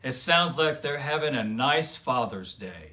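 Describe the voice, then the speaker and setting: A man voicing a gentle, encouraging mother bear in a children's storybook. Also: mid-range voice, expressive, a little slow. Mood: pleased.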